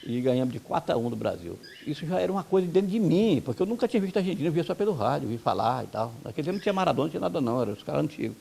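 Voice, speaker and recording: A man's voice: on the high side, sing-song, creaky, mellow, breathy edge. A man from Brazil, a football coach, giving blunt, heated opinions in an interview. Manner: blunt, heated, rapid-fire complaints.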